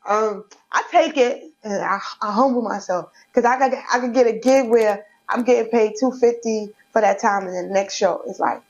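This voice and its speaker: A young woman talks frankly as a comedian guest. Her voice sits a little high and her talk is streetwise and easy. The audio sounds dull and lo-fi. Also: moderate pace, few pauses. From Brooklyn, New York. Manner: frank, streetwise, laughs often. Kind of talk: casual interview chat.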